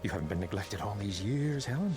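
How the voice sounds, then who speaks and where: An actor playing a menacing, courteous killer in a radio drama, unhurried and falsely polite as he toys with his victim. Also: fairly low pitch, sing-song, music in the background. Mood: gloating, menacing.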